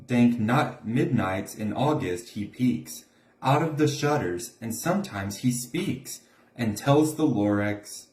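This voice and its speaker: A man's voice: mid-range voice, dark tone. A man reading a rhyming children's storybook aloud as narrator. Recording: roomy, echoing room sound.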